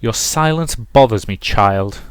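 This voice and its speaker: A man voicing a possessive, sinister ghostly mother in a visual novel, slow, drawn-out and cloying. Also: on the low side, lively intonation, clear, resonant tone, no room sound. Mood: sweetly menacing.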